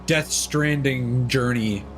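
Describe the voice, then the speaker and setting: A man's voice: medium pitch, slow. A man streaming a video game, giving rambling, offhand commentary with lots of fillers and casual swearing. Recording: game music playing behind the voice. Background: game soundtrack guitar music.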